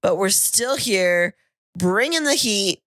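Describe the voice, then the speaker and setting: A woman co-hosting a podcast in casual chat, her voice husky and a little raspy from a lingering cold, talking in a chatty, rambling way. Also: mid-range voice, expressive, slow pace, fairly bright tone, no room sound. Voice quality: slightly raspy. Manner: chatty, self-deprecating, rambling. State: husky tail-end-of-cold voice. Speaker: podcast co-host. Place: home podcasting studio.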